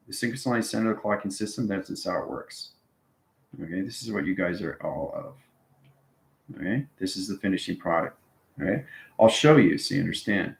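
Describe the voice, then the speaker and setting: A man with a fairly deep voice teaches informally to a live online audience. He circles back over his phrases and punctuates them with little check-ins like "okay" and "right." The sound is noticeably roomy and echoing. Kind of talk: informal live lesson to viewers.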